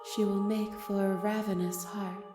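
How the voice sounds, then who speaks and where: Eerie calm princess thing in a game, a woman doing it, super echoey, music playing. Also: mid-range voice, a little slow, close to an everyday voice. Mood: ominous. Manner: calm, hushed, prophetic. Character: otherworldly princess being, calm and ominous. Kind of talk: scripted game voice acting. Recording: heavy reverb game voice.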